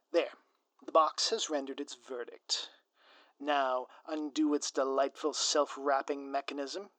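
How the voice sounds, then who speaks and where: Performer as gloating ex-supervillain, theatrical, sardonic, grandiloquent, mid-pitched, dry close-mic audio roleplay. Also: a bit bright, no room sound. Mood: haughty. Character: theatrical gloating ex-supervillain spouse, smug, scheming, playfully flustered. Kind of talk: scripted audio roleplay monologue addressed to listener.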